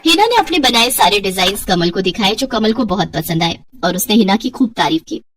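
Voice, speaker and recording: A woman's voice: medium pitch, sing-song, brisk, fairly bright tone, husky, no room sound, recorded from a distance. A woman narrating a scripted moral story as a storyteller.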